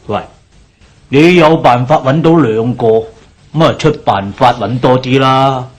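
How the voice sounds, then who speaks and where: A man's voice: medium pitch, measured pace, close to an everyday voice. A man acting an older, pushy creditor in a radio drama, lecturing with sighs in Hong Kong Cantonese. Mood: coaxing, pressing. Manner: lecturing, sighing, presses his point.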